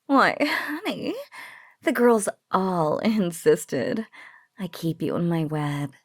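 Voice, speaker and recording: A woman playing a teasing, possessive female spider monster in an intimate audio roleplay, with a breathy, cooing drawl that often slips into whispers. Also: lowish voice, animated, slow, no room sound, clean studio sound. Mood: teasing, amused. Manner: teasing, drawling coo with giggles.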